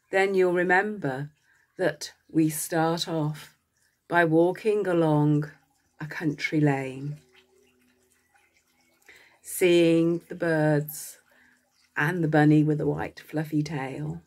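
A woman narrating a guided meditation as a coach, speaking gently in short phrases with long pauses between them. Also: low-pitched, steady tone.

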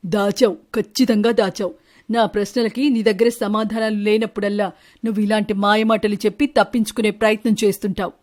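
Wronged husband bird for a cartoon moral tale, played by a woman, mid-pitched, probing and confrontational. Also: little pitch movement, brisk, darkish tone, natural voice. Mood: accusing. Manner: confrontational, questioning. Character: suspicious, wronged male bird husbands and lovers, accusing. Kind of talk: animated Telugu moral-story dialogue.

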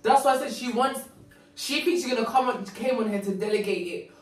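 A woman's voice: on the high side, a little fast, far from the mic. A young woman gossiping bluntly in casual chat. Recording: echoey room, off mic, chatter behind.

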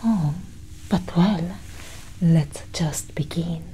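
A woman voicing a cool, curious female alien abductor in an ASMR roleplay, whispering softly and condescendingly close to the microphone. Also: lowish voice, slight background noise, rough recording. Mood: coaxing, commanding. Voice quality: breathy, husky whisper. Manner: slow, soft, condescending, intimate.

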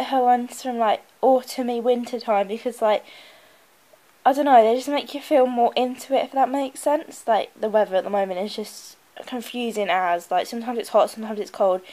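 A woman's voice: on the high side, dark tone, poor audio. A young woman vlogging in her bedroom, a bit bunged up from a cold, talking anxiously and chattily to a handheld camera. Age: young adult. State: congested from a cold. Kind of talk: casual vlog chat to camera. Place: bedroom at home. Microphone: handheld camera close up.

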